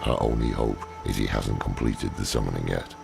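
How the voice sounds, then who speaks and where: A weary, cautious mentor from a video game. His voice is extremely low and heavily gravelled, delivering lore gravely and dryly. Loud music runs behind him. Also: moderate pace, exaggerated voice. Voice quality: very deep, gravelly. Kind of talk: video game cutscene acting.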